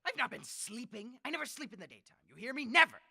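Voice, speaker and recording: An actor playing an ailing, tyrannical old dictator in a radio drama, imperious and demanding, with a raspy voice. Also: male voice, very high-pitched, very animated, quick pace, exaggerated voice. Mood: irritable, imperious. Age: older. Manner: imperious, demanding, erupting from brooding into shouts.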